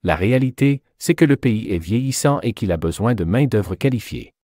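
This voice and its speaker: A man playing a measured expert journalist who gives articulate, explanatory answers in a scripted interview. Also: fairly low pitch, lively intonation, warm tone, no echo, clean studio sound.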